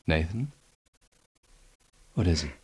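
An actor playing a calm, insistent state prosecutor who questions a prisoner in a measured way, in a radio crime drama. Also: male voice, low-pitched, slightly rough, exaggerated voice. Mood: grave, accusing.

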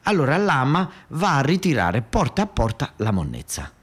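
A man's voice: medium pitch, wildly swooping pitch, moderate pace, husky. A man with a southern Italian accent performing a theatrical narrative monologue in a colloquial, emphatic, repetitive way. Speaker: actor-storyteller.

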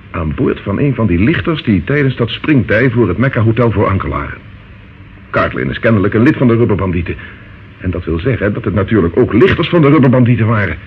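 Man acting an animated, probing police detective. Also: fairly low pitch, natural voice. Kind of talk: radio play acting. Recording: muffled, noisy old radio recording.

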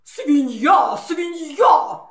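An effusive lady pig in a children's audio fairy tale, given to oinks. She speaks high and very slowly with lively intonation in an echoey room. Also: heavily acted. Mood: excited. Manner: effusive, oinking. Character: effusive female pig, grunting fairy-tale party guest. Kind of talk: children's audio play acting.

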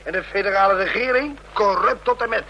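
An actor playing a disgruntled, cynical train passenger in a radio drama, grumbling scornfully. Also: male voice, high voice, brisk, bright, forceful tone, highs cut off. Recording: noisy old radio drama recording.

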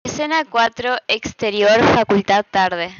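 A woman's voice: medium pitch, expressive, unhurried, no room sound. Dull-recorded woman reading scene directions. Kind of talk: scripted narration.

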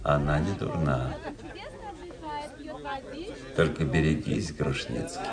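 An actor playing a cynical, world-weary young aristocratic officer in a radio play, narrating in a measured, ironic literary manner. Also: male voice, sits low. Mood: cool, mocking. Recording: radio play with faint music behind.

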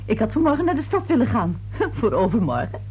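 Radio play: nosy, self-important neighbour woman, very animated delivery, rough husky tone, confiding and insinuating. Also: a little high, a little fast, muffled recording, heavily acted. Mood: haughty, chatty. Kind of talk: Dutch radio play acting.

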